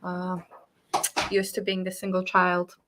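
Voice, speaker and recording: A woman's voice: fairly low pitch, monotone, unhurried, a bit bright. A woman from Poland chatting casually as co-host of a livestream, informally reading out viewer comments. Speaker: live stream co-host.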